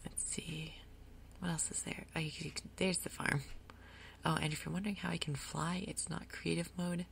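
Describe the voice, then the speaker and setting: A woman giving soft-spoken ASMR game commentary in a breathy, gentle, unhurried way. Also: low-pitched, flat delivery, a little hiss or hum. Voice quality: breathy, whispery. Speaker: gaming video host.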